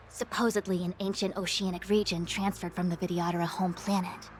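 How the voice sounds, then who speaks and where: An actress plays a composed young female advisor in a fantasy game. She speaks at a medium pitch in formal, measured phrases, grave and resolute, with soft music behind her. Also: natural voice. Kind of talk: scripted game voice acting.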